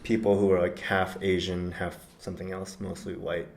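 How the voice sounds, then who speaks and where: A man from the United States talking in a relaxed podcast conversation, thoughtful and measured, often pausing with ums and correcting himself. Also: sits low, creaky. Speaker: podcast guest. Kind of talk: casual conversation. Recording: roomy echo.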